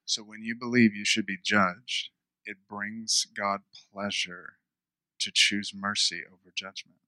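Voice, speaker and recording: A man preaching a conversational sermon, repeating phrases for emphasis, with a smooth radio-style voice. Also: lowish voice, little pitch movement, measured pace, bright, forceful tone, no room sound, poor audio. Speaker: pastor.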